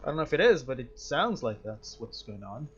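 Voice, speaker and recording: A man's voice: medium pitch, sing-song, a little fast, breathy edge, lo-fi sound. A man giving casual game commentary, musing and hesitating as he thinks aloud. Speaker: gamer playing and reacting.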